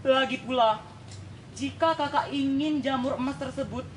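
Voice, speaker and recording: Folktale role: innocent, respectful young villager, measured and high-pitched young woman performing, slight background noise. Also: recorded from a distance, lightly acted. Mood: innocent, protesting. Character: humble, innocent younger brother-in-law, a poor villager.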